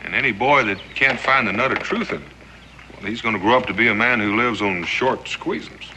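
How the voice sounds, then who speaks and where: Low male voice acting, wise easygoing frontiersman, folksy, dry humor, noisy old soundtrack. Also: close to an everyday voice. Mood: homespun wisdom. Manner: homespun, measured, dryly humorous. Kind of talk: TV western acting.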